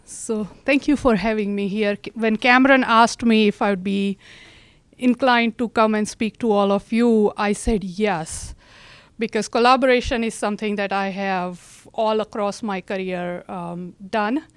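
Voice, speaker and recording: A middle-aged woman with an Indian accent gives a prepared presentation as a local official. Her pitch is average for a woman. She explains things politely and in a measured way. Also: fairly even intonation, smooth, no room sound. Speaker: county government director presenting.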